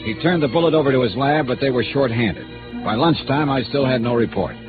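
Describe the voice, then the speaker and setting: An actor playing a wry American insurance investigator with a dry, clipped, wisecracking delivery in a 1950s radio noir drama. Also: male voice, mid-range voice, animated, brisk, music in the background, close to an everyday voice. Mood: wry narration. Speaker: detective narrator and lead. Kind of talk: 1950s radio noir acting, first-person narration. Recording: tinny, band-limited old radio recording.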